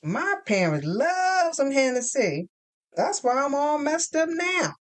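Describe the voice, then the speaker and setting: Female narrator doing a sassy front-desk receptionist: higher, candid, gossipy, slangy, irreverent audio drama character. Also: big pitch swings, slow pace, full character voice. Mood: joking. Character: breezy, irreverent woman receptionist, gossipy and joking. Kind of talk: audio fiction character dialogue.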